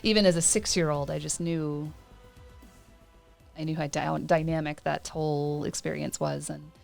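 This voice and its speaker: A woman's voice: low voice, fairly even intonation, moderate pace, vocal fry, a little mellow, faint music behind. A woman in her forties co-hosting a podcast in casual, chatty talk, laughing often between points. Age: 40s. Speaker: podcast co-host.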